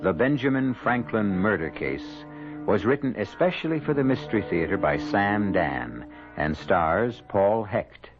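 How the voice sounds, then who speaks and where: A man with a low voice hosts a vintage radio drama. His scripted narration is theatrical and deliberate, with lively swings in pitch. Music plays behind him. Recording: dull vintage radio recording.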